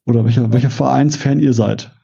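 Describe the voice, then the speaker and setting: A man's voice: medium pitch, steady tone, brisk, creaky, dark tone, breathy edge. A man who is a guest on a podcast, making short, dry remarks in casual chat. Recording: dull lo-fi sound.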